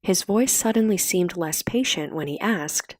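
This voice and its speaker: A woman's voice: on the low side, expressive, measured pace, no room sound, clean studio sound. A woman reading a story as an audiobook narrator in a scripted narration style.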